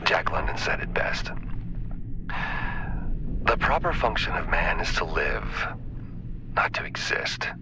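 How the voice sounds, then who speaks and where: Game villain doctor: very deep, slow, hushed and grave, weary menace, noisy backdrop. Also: male voice, big pitch swings, exaggerated voice. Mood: cold conviction. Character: weary, menacing male doctor convinced he saves lives. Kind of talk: dramatic video game acting.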